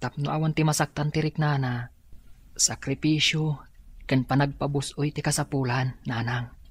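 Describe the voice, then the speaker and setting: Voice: mid-range voice, steady tone, moderate pace, breathy edge, natural voice. An actor playing an earnest, self-sacrificing young adult twin, persuasive, in an Ilocano radio drama with an Ilocano accent.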